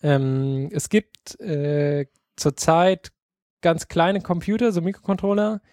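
A man's voice: fairly high pitch, takes it slowly, a little mellow, no echo. Slangy man on a dry podcast mic. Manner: loose and slangy, swears casually. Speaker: podcast co-host. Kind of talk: casual tech chat.

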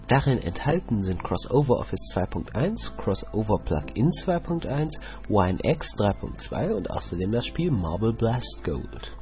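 Lively man reading a software review. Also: lowish voice. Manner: reads script, addresses listeners informally. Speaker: podcast contributor. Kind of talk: scripted product review.

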